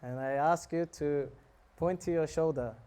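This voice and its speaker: A man giving a relaxed, joking talk on scripture to a live audience, often asking them questions. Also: fairly high pitch, moderate pace, clean tone. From South Africa. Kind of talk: casual sermon to a live audience.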